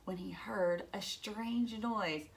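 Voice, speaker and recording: A woman teacher reading a children's storybook aloud, expressive and dramatic, as if to young listeners. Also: medium pitch, slow, gravelly, rough recording.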